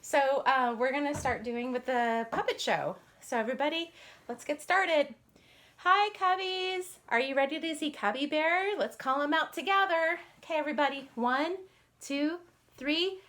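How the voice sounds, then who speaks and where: Mom type lady, high voice, talking to little kids as puppet show host. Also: moderate pace, a bit bright. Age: adult parent. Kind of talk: addressing young children, scripted puppet dialogue.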